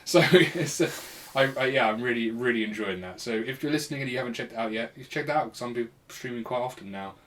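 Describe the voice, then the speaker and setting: A man's voice: medium pitch, fast talker, hardly any pauses, fairly bright tone, a little hiss or hum. A man hosting a podcast in casual, rambling, jokey chat with a friend. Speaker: podcast host. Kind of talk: casual chat about retro games.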